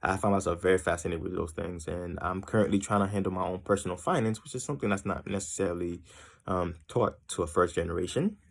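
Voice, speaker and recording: A young man from Haiti introduces himself in a loose, wandering way, circling off on tangents and filling pauses with ums. His voice sits very low with a gravelly, fried edge. He sounds easygoing and unscripted. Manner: rambling and tangential, many ums and uhs. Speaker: student introducing himself to a class. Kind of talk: casual self-introduction.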